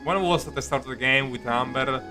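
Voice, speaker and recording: A man streaming a video game with casual, rambling commentary, halting and jokey, often repeating his words. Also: medium pitch. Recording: game music behind the voice. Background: game music.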